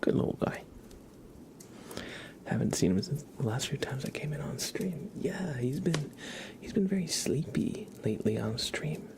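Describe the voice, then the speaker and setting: An ASMR livestream host speaks in breathy whispers and soft murmurs, close to the mic. The delivery is gentle and affectionate, with a strong creak in the voice and very varied intonation. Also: mid-range voice, expressive, moderate pace. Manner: gentle, affectionate cooing at a pet. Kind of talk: live ASMR, whispered and soft-spoken greetings and chat. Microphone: close sensitive microphone.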